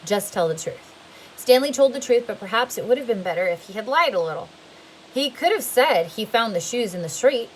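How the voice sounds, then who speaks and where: A woman's voice: a little high, fairly bright tone, a little hiss or hum, low-quality recording. A woman reading a novel aloud in a plain way, sometimes stumbling and restarting a word. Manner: plain, occasionally restarting words. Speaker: reader.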